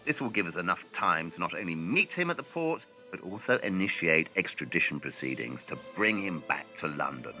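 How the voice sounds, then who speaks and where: A man's voice: low-pitched, expressive, a little fast, hardly any pauses, sharp tone, soft background music, exaggerated voice. A man playing a shrewd, precise, self-assured Victorian detective in an audio drama. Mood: confident, deductive.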